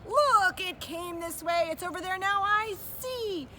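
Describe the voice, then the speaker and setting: Irate wild boar, performed by a woman, shrill angry yells, very high and loud, storybook villain, noisy recording. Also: unhurried, heavily acted. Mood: alarmed, angry. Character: furious crowd of wild boars and jungle animals. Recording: distant mic with clear background noise.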